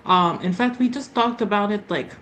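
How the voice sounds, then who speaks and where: A woman's voice: mid-range voice, normal speed, a bit bright, faint music behind. A woman chatting casually and explaining things emphatically as a player in a tabletop roleplaying game.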